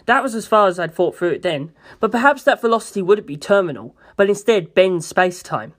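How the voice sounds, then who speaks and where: Steady narrator reading a novel aloud. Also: mid-range voice, brisk. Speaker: amateur audiobook reader. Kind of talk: scripted narration of a novel, first person.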